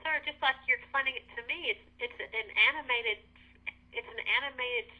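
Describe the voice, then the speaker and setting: Over a telephone line, a woman with a bright, somewhat high voice handles a complaint. She is polite and hesitant, restarting her sentences as she responds in customer service style. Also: fairly quick. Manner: hesitant, polite, addressing caller as sir. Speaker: restaurant employee taking a complaint call. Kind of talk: customer service phone conversation.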